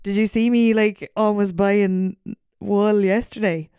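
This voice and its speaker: A woman's voice: mid-range voice, fairly even intonation, unhurried, warm tone, clean tone, no echo, studio quality. An Irish woman co-hosting a podcast in casual banter, teasing and swearing freely. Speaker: podcast co-host.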